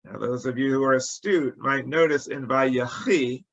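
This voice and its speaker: A man speaking as a rabbi, giving conversational religious teaching in a deliberate storytelling way with emphatic phrasing. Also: mid-range voice, creaky, muffled recording. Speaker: rabbi, teacher of a weekly Torah study.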